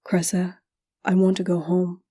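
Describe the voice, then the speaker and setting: A woman voicing a gentle, trusting wolf boy in a fantasy audiobook, speaking softly and earnestly. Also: lowish voice, completely flat delivery, unhurried, husky, no echo, clean studio sound. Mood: forlorn. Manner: earnest, soft, careful.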